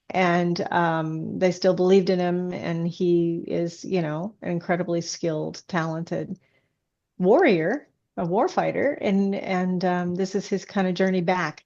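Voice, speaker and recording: A woman author being interviewed, chatting casually and explaining things in a halting, hesitant way. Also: lowish voice, steady tone, dark tone. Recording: dull, band-limited audio.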